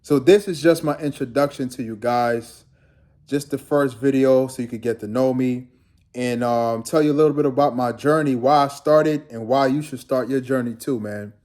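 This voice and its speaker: A man's voice: medium pitch, moderate pace, a little mellow. A young man from Guyana, a fitness trainer, talking casually and rambling to his viewers in a laid-back vlog.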